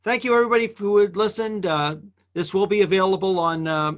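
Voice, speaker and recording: A man hosting a casual group chat in a halting, conversational way. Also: sits high, fairly even intonation, a bit bright. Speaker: podcast host.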